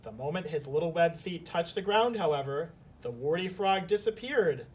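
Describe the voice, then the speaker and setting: A man's voice: animated, normal speed. A man speaking as a teacher, reading a children's story aloud and discussing it. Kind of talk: storybook narration and lesson discussion. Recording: slightly distant mic, faint rumbling noise.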